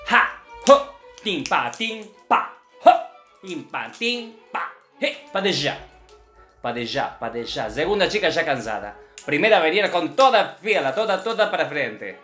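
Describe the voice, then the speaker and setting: A man's voice: on the high side, very animated, a little slow, a bit bright. Guy doing a bossy russian ballet teacher, thick theatrical delivery, broken grammar, echoey room w/ faint music. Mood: theatrical, critical. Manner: broken Spanish with infinitive verbs, chanted counting syllables. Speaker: ballet teacher reviewing dancers' videos. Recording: roomy echo with faint music behind.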